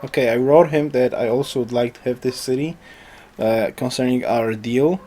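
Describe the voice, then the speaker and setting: A man thinking aloud as he commentates a strategy game, hoarse because he is losing his voice, rambling and restarting his sentences. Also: medium pitch, moderate pace, warm tone. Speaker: gameplay commentator. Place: home.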